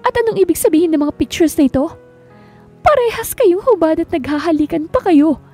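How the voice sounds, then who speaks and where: A woman plays a betrayed young fiancée, accusing and near tears, her voice pitched high. The tone stays soft and dark, with faint music in the background. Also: expressive, brisk. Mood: hurt, angry. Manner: accusing, tearful.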